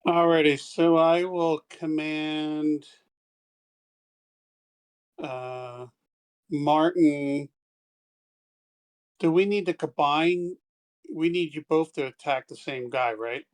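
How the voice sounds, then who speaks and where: A man's voice: fairly high pitch, slow pace, pauses often. A man talking casually as a player in a tabletop roleplaying game.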